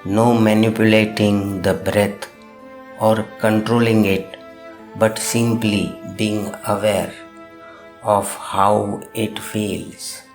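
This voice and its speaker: A man narrating a guided meditation, giving gentle instructions in short, measured phrases with long pauses between them. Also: lowish voice, flat delivery. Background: music.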